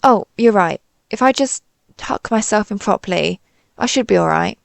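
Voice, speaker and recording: A woman's voice: mid-range voice, lively intonation, normal speed, vocal fry, dry recording. A woman playing a timid, suspicious alien pizza slice who rambles hesitantly and puts herself down in a scripted audio roleplay. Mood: curious, pleased. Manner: hesitant, rambling, self-deprecating.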